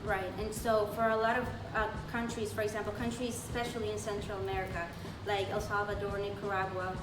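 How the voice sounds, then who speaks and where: An earnest woman in her late twenties, from Colombia, thinking aloud through her answers with plenty of pauses and fillers. Her voice is picked up from some distance, with a roomy echo and steady background noise around it. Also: medium pitch. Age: late 20s. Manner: earnest, thoughtful, frequent fillers. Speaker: interview guest, party secretary. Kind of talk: conversational interview. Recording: roomy, off-mic, noisy.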